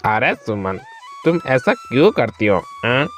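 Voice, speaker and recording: A man's voice: medium pitch, big pitch swings, measured pace, a bit bright. A man voicing a kindly village father in a moral-story cartoon, pleading and tagging phrases with little questions, over music. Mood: pleading reproach. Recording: music under the voice.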